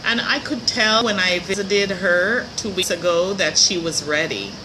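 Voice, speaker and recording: A middle-aged woman, a little hoarse, hosting her show in a chatty, upbeat live-stream talk from a hotel room. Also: fairly low pitch, measured pace, noisy background. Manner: chatty, upbeat, with light laughs. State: slightly hoarse. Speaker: host of a motivational show. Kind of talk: casual live-stream monologue. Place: hotel room near a pool.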